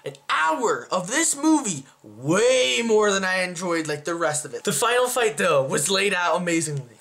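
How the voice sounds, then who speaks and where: A young man giving a casual, chatty movie review, rambling and interrupting himself as he goes. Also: high-pitched. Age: young adult. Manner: animated, rambling, self-interrupting. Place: small plain room at home.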